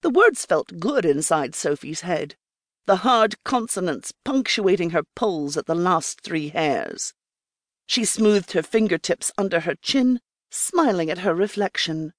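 A woman's voice: on the low side, lively intonation, unhurried, dry recording, clean studio sound. A woman narrating a scripted fiction audiobook.

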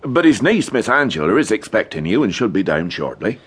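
An actor playing a chatty, deferential village police sergeant in a radio detective drama. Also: male voice, on the low side, very animated, brisk, husky. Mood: helpful, amused. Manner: chatty, deferential, full of sirs.

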